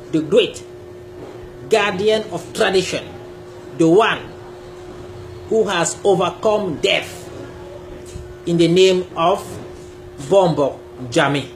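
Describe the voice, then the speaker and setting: Slow, emphatic man. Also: high-pitched, slight background noise, rough recording. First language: Bassa. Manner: deliberate, emphatic, gestures a lot. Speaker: YouTube creator. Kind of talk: direct-to-camera talk on spirituality. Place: small indoor room, seated on a sofa.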